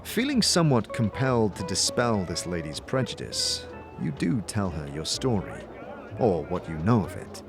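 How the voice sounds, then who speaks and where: A man's voice: deep voice, wildly swooping pitch, moderate pace, vocal fry. A man narrating a scripted fantasy audio drama as its storyteller and game master. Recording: music under the voice.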